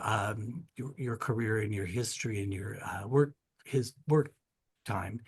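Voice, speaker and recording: A man's voice: medium pitch, breathy edge. A man moderating a panel, introducing guests and asking questions in an effusive, conversational way. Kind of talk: conversational interviewing.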